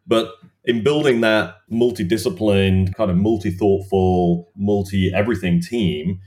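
Low-voiced podcast host man. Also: steady tone, measured pace, darkish tone. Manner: conversational, loosely phrased questions. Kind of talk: interview questions.

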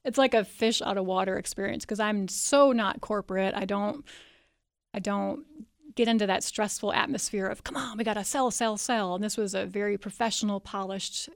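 A creaky-voiced woman you'd hear as the frank, self-deprecating guest on a close, dry studio book interview. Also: mid-range voice, fairly even intonation, normal speed, no room sound. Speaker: author being interviewed. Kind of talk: candid personal storytelling in an interview. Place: radio studio, in person.

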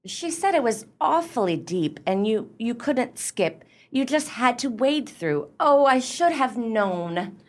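A woman's voice: a little high, big pitch swings, slow. A woman playing a prim, peevish, bookish clubwoman in a radio play, with a precise and huffy delivery. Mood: flustered.

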